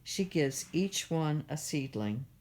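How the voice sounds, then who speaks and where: A woman reading a children's picture book aloud as a library storyteller, in a measured, expressive way. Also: low voice.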